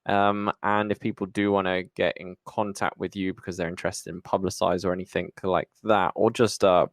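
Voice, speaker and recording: A man's voice: sits low, little pitch movement, a little fast, dry recording, clean studio sound. A man hosting a podcast interview, speaking in a conversational way with his guest. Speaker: podcast host.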